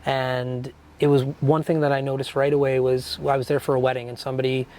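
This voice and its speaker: A man from Fort McMurray hosting a morning interview show, chatty and upbeat with lots of quick interjections. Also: mid-range voice, level tone, darkish tone. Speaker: host. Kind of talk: casual interview chat. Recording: faint background noise.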